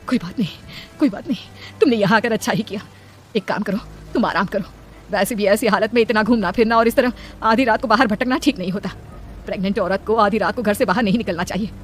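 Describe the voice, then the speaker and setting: A woman voicing a worried, motherly middle-aged village aunt in a horror cartoon, speaking in an expressive, questioning way. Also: medium pitch, fast talker, close to an everyday voice. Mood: caring. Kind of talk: dramatic Hindi cartoon story dialogue.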